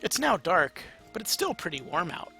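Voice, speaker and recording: A man's voice: high voice, big pitch swings, normal speed, gravelly, sharp tone, soft background music. A man narrating a story aloud in a casual, amateur, playful way. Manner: informal, playful.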